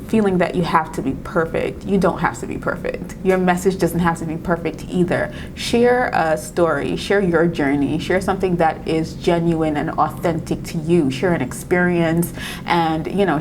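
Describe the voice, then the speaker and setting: A woman's voice: fairly low pitch, noisy background. A woman from Trinidad and Tobago with a Trinidadian accent that has some New York in it, talking to the camera in an encouraging way, often laughing and restarting her words. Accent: Trinidadian mixed with New York. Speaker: video creator giving tips. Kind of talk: casual talk to camera.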